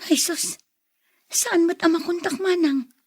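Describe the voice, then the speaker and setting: A woman's voice: very high voice, a little slow, a little mellow, dry recording. A woman with an Ilocano accent playing a coy young woman in a radio drama, often drawing out her interjections. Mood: worried. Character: Claire, coy young woman being courted. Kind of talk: Filipino radio drama acting.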